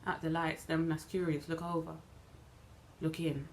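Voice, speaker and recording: A woman performing a dramatic monologue as a bitter, wronged young woman recounting events in a deliberate, halting way with emphatic repetition. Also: deep voice, flat delivery, poor audio. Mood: controlled hurt. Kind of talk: dramatic stage monologue, self-taped. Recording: noticeable background noise.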